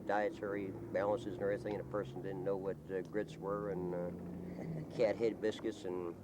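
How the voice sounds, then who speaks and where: A man chatting casually in an interview, telling local stories in a folksy, rambling, halting way. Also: on the low side, noisy background. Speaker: local resident being interviewed.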